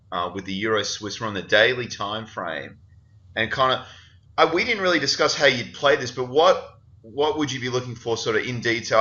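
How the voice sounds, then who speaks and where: A man's voice: medium pitch, pushed and bright. A man hosting a casual trading chat, sounding nasal and stuffy from hay fever, rambling with lots of fillers. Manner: chatty, rambling with many fillers. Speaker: podcast host. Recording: dull lo-fi recording.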